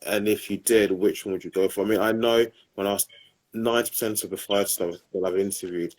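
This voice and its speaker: Male interviewer, deep voice, halting questions. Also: fairly even intonation, rough recording. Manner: hesitant, many ums and uhs. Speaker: podcast host.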